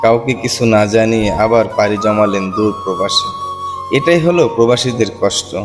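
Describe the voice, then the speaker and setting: A man with a mid-pitched voice narrates a cartoon story earnestly and sorrowfully. His voice rings in a long reverb, with music playing behind. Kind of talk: scripted first-person cartoon story narration.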